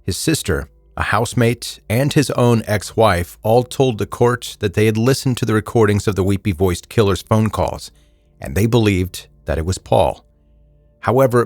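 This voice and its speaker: A man's voice: on the low side, no echo. A man hosting a true crime podcast, reading scripted narration with casual asides.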